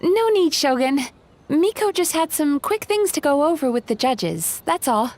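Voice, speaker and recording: Helpful polite game girl in her young adult years, high lilting voice, really expressive. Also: measured pace, slight background noise. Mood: cheerful, casual. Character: kindly, helpful young woman, polite and sociable. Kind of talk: video game voice acting.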